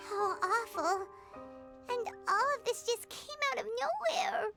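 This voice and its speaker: A woman voicing a tiny, childlike, kindly fairy companion with a squeaky voice in a video game. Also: expressive, a little slow, creaky, music in the background, exaggerated voice. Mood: dismayed.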